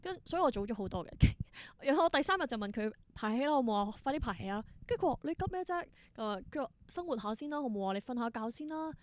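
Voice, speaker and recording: A woman's voice: sits high, animated, rapid-fire, hardly any pauses. A young adult woman with a Hong Kong Cantonese accent, telling stories casually as an interview guest and acting out bits of dialogue. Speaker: podcast guest, theatre performer.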